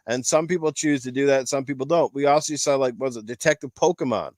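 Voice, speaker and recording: A man's voice: mid-range voice, fast talker, a little mellow, no room sound, clean studio sound. A man hosting a casual panel chat, giving rambling opinions with emphatic repetition. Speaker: podcast panel host. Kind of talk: casual opinion chat about games and films.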